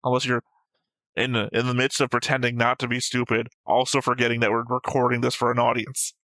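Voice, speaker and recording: A man's voice: medium pitch, monotone, brisk, bright, forceful tone, dry recording, rough recording. A man co-hosting an anime reaction show, chatting casually and unscripted in a dry, teasing way. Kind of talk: casual unscripted banter and commentary.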